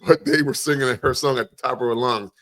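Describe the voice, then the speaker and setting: Quick-talking man in casual podcast chat. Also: medium pitch, fairly bright tone. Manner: informal slangy speech with profanity. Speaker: podcast co-host.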